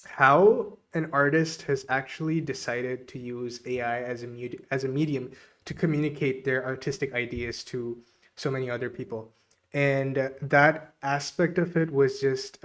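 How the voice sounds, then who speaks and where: A man from Pakistan, hosting a podcast and asking questions in a hesitant way, with many fillers. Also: mid-range voice. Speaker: podcast host. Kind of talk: podcast interview.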